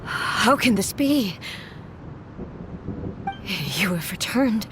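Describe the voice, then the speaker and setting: A woman's voice: mid-range voice, big pitch swings, extremely slow, background noise. A woman playing a regal, solemn warrior goddess in a video game, speaking in a grave, stately and formal manner. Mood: puzzled. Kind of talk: English video game voice acting.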